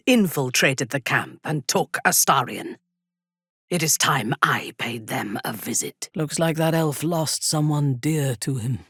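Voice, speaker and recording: A woman playing a haughty, ruthless dark elf warrior in a fantasy video game, with an imperious, deliberate, formal delivery. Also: low voice, animated, breathy edge, dry recording, studio quality, heavily acted. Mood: measured, grave.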